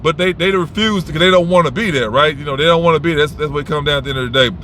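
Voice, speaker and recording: A man's voice: on the high side, very fast, pushed and bright, slight background noise. A man giving advice in a casual, unscripted monologue, blunt and rambling, swearing freely and restarting his sentences.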